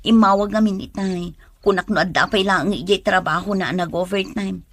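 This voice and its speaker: A woman's voice: medium pitch, steady tone, normal speed, close to an everyday voice. A woman playing a devoted, stubborn young woman in love, in a radio drama. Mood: anxious. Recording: music under the voice.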